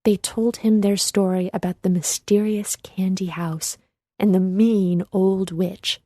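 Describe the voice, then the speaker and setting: Female narrator for kids' read-along story: measured, slow delivery, mellow tone. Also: medium pitch, fairly even intonation, dry recording, studio quality. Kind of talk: scripted children's storybook narration.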